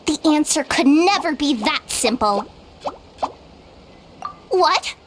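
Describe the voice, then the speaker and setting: A pompous riddling mushroom. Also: female voice, high-pitched, lively intonation, slow pace, noisy background. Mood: haughty. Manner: pompous, formal and condescending. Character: haughty talking mushroom, a smug riddle-setter. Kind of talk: video game voice acting.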